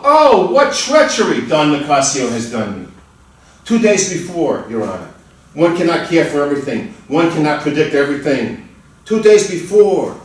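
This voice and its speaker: An actor in a dramatic stage reading, playing a desperate accused man who pleads emphatically with a judge. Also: on the high side. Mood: indignant. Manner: emphatic, pleading, drawn-out outbursts. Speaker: reader playing the accused in a play. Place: large indoor room at a college festival reading. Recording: echoey hall, far from the mic.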